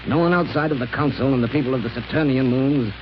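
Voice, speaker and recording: A dull, noisy old radio recording carries an actor as a male space villain in a 1930s serial. He is commanding and smoothly deceptive, speaking in a measured mid-pitched voice. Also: lightly acted. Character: scheming male space villain impersonating a heroic captain.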